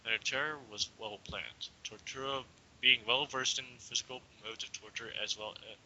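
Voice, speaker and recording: A man's voice: medium pitch, steady tone, moderate pace, sharp tone, a little hiss or hum. A young man giving an amateur dramatic reading of a story, stumbling now and then and slipping into casual asides. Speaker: reader. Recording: dull lo-fi home recording.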